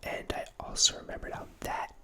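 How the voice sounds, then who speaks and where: A man in his thirties hosting a whispered ASMR ramble, speaking softly right into a close studio microphone in a small home room, with lots of self-corrections. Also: brisk. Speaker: ASMR channel host. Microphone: close studio microphone, wearing headphones.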